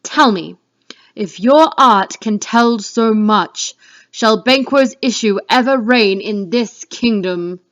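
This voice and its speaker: Slow, declamatory woman as tormented king Macbeth. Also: fairly high pitch. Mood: defiant, demanding. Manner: declamatory, exclamatory. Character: Macbeth, male Scottish thane turned king, fearful and defiant. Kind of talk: dramatic reading of Shakespeare. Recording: dull lo-fi home recording.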